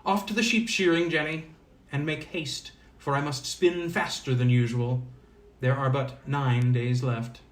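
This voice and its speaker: An ancient, magical wise woman of the fairy tales, played by a man. She speaks briskly and cryptically, giving orders as one used to being obeyed. The sound is roomy and echoing. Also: mid-range voice, fairly even intonation, natural voice. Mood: commanding.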